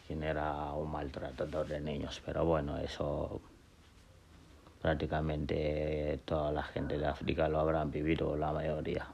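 Very deep, gravelly man from Gambia. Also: darkish tone. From Gambia and Senegal. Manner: halting, many fillers and restarts. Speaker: podcast host telling his life story. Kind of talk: casual personal monologue. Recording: dull lo-fi sound with faint noise.

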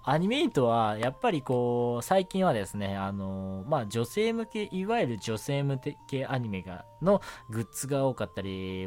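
Young male radio host, casual and rambling, self-effacing, animated intonation over light background music. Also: mid-range voice. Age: young adult. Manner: giggly, self-deprecating, rambling. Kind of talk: casual solo radio chat.

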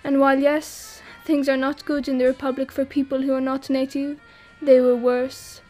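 Wry high-voiced exiled woman. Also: little pitch movement, normal speed, warm tone, faint music behind. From France. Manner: wry, self-mocking, laughs while talking. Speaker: exile interviewed about a disaster. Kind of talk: acted monologue in an audio drama.

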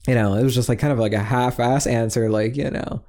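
A man's voice: medium pitch, fairly even intonation, brisk, a little mellow. A young man chatting casually on a podcast over a headset mic, rambling with lots of fillers and swearing freely. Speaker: podcast co-host. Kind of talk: casual chat.

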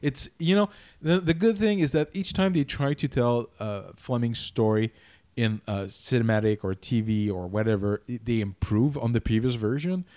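A man co-hosting a podcast, talking in a loose, casual way and stumbling over his words as he works out his thoughts. His voice sits at an ordinary pitch for a man. The sound is dry and close, with no room around it. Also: mellow, dry recording. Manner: halting, repeats words while thinking. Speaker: podcast co-host. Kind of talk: casual chat.

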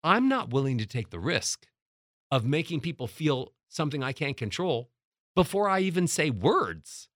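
A middle-aged man teaching as a voice coach, emphatic and demonstrating how to change loudness and pitch. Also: very animated, normal speed, no echo, clean studio sound. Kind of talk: motivational teaching talk.

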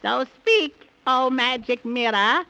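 Wicked radio witch, very high piercing voice, slow drawn-out chant, spiteful, gloating, theatrical. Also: lively intonation, bright, forceful tone, dull audio, full character voice. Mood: incanting, commanding. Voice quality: cackling, theatrical. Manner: chanting rhymes, drawn-out words. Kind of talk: 1930s children's radio acting.

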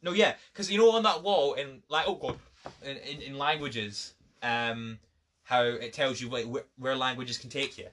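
A man's voice: medium pitch, normal speed, a bit bright. A teenage boy with a Scottish accent, co-hosting a podcast in casual, joking chat with friends, often tripping over his words. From Scotland. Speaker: podcast co-host.

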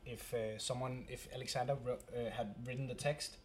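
A man's voice: medium pitch, fairly even intonation, a little fast, a little hiss or hum. A man with a Danish accent, the band's singer, chatting casually in English and sometimes searching for words. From Denmark. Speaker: band member, singer.

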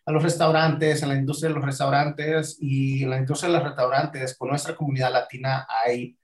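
An echoey room carries the voice of a Mexican man in his forties. He speaks at a middle pitch, reflective and candid, sharing personal stories as an interview guest. The odd English filler word slips into his Spanish. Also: level tone, brisk. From Acapulco, Mexico. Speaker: podcast guest.

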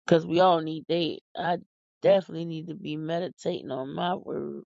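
Tired silly philly woman rambling on her podcast, mellow voice, dull dry lo-fi sound. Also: low-pitched, steady tone, moderate pace, gravelly, no room sound. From Philadelphia. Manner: silly, goofy, folksy, exclamatory. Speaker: podcast host. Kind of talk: casual rambling monologue to listeners.

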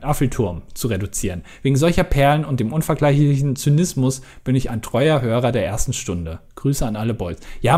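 A man's voice: mid-range voice, a little mellow. A man co-hosting a casual podcast, rambling with lots of hesitations and dry jokes. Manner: rambling, hesitant, many ums and self-interruptions, dry jokes. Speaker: podcast co-host.

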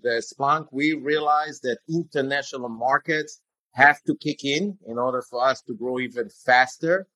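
Bright-voiced Israeli-born male executive. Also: medium pitch, dry recording, poor audio. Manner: hesitant, many fillers and restarts. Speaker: guest, tech company executive. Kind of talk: conversational interview answers.

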